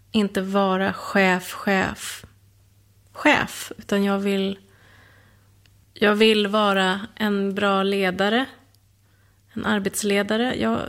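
An actress playing an unsure, earnest woman on a management course in a modern radio dramedy, halting as she searches for words. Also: mid-range voice, vocal fry, close to an everyday voice. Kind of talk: modern radio drama-comedy acting.

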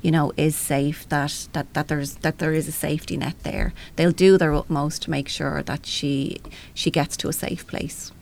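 A woman's voice: low-pitched, fairly even intonation, moderate pace, vocal fry, few pauses, darkish tone. An Irish woman explaining things as a helpline representative in a panel discussion, often adding "you know".

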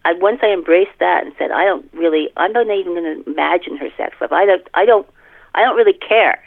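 A middle-aged woman talking casually in a podcast interview as an author, halting and often repeating and rephrasing her phrases. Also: on the low side, creaky. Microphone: telephone. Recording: muffled, tinny telephone line.

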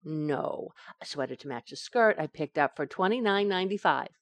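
A woman acts out a scatterbrained young wife in a radio sitcom. She coaxes with wide-eyed innocence and breezy comic timing. Her pitch swings in a lively way, and the sound is dry. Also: normal speed, dry recording. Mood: breezy, confiding. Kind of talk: old-time radio sitcom acting.

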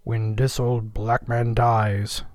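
Storybook role: man playing a grumbling cook of ninety, folksy speech, sullen and tired, medium pitch. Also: fairly even intonation, moderate pace, darkish tone, no echo, clean studio sound, close to an everyday voice. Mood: muttering. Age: 90s. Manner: folksy dialect, grumbling and muttering. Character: sullen ninety-year-old ship's cook, grumbling and weary. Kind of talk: audiobook character voice.

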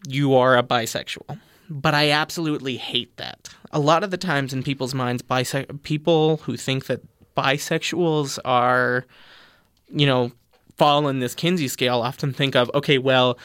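A man's voice: mid-range voice, clean tone, dry recording, clean studio sound. A young man with a slight lisp, talking earnestly and expressively in a casual panel discussion. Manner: expressive, animated, explaining earnestly. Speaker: panel guest.

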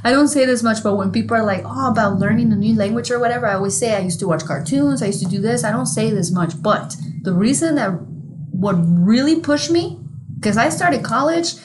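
A woman's voice: a little high, expressive, brisk, noisy background. A woman with a Mexican accent co-hosting a podcast, chatting casually. She tells stories frankly, swearing freely and laughing along the way. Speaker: podcast co-host. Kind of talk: casual chat.

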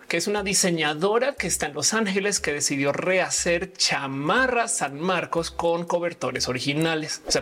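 A woman's voice: sits low, a bit bright, breathy edge. A woman born in Colombia hosting her own channel, explaining things in a chatty way and talking straight to her viewers. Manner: chatty, addresses viewers directly. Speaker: channel host. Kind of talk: informal explainer video.

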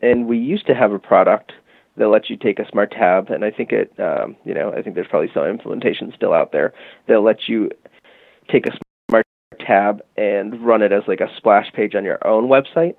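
Gravelly low man on a phone line. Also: normal speed, no room sound. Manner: explanatory, many fillers like "you know" and "um". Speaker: guest from a music marketing company. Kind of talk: casual interview talk. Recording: tinny phone-line call.

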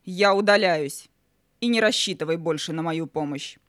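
A woman's voice: on the low side, normal speed, a bit bright, no echo, studio quality, lightly acted. Imperious, wrathful spirit of Night played by a woman. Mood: wrathful, cold. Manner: solemn, menacing, grandly reproachful. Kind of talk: audio play for children.

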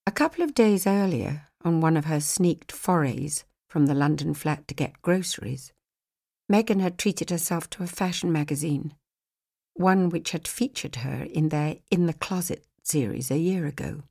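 Woman, audiobook narrator, lowish pitch, scripted fiction reading. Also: lively intonation, normal speed, creaky, dark tone.

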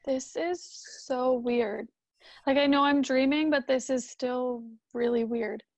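A woman acting the part of Hannah's unsettled grown daughter and wife role in a radio drama, speaking haltingly. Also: sits high, steady tone, dry recording. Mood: bewildered, hesitant. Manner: hesitant, halting.

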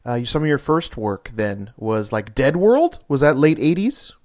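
A man's voice: mid-range voice, sing-song, moderate pace, darkish tone. A man hosting a podcast interview, enthusiastic and jokey, laughing often. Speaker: podcast host. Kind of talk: casual interview chat.

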